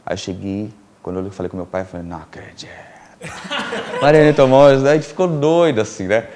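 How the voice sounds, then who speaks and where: A middle-aged man from Brazil, a filmmaker and producer telling stories as a TV interview guest, animated and laughing often, with many fillers and restarts. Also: mid-range voice, normal speed, slight background noise. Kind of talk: casual storytelling in a TV interview.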